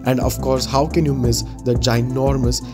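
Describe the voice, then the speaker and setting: A man reading a polished, scripted voice-over narration in a steady, even delivery. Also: mid-range voice, normal speed. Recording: music bed under the voice.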